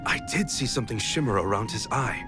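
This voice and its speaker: A man's voice: lowish voice, expressive, fairly quick, close to an everyday voice. An actor playing a skeptical, matter-of-fact male adventurer in a video game, dry and blunt. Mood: grudging. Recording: game music behind the voice.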